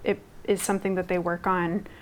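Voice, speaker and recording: Young woman from Guatemala, Spanish her first language, lowish voice; loose, meandering interview talk full of fillers. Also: little pitch movement, fairly quick. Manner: rambling, many fillers and restarts. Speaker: textile artist.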